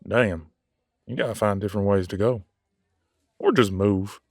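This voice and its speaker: A man playing a cocky, casual werewolf veterinarian in an audio drama, gruff but soft-hearted, with a streetwise way of talking. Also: lowish voice, normal speed, no room sound, studio quality. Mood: brash, needling. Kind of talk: audio roleplay drama dialogue.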